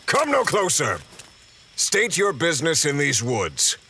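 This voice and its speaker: An actor playing a composed, principled elf patrol leader in a fantasy audio drama, speaking formally and with command. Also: male voice, a little high, wildly swooping pitch, unhurried, sharp tone, slight background noise, heavily acted. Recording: music behind the voice.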